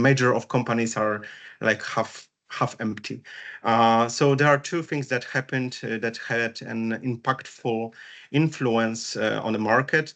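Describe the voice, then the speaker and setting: A man, chatting casually on a video call as co-host, pausing often with fillers. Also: medium pitch, fairly bright tone. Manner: hesitant, many fillers. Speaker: co-host, business and wellbeing strategist.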